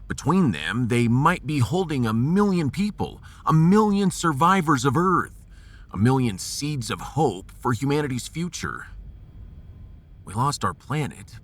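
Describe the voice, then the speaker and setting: A man playing a gruff, folksy American starship captain in a sci-fi audio drama, resolute and commanding with homespun slang. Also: medium pitch, fairly bright tone.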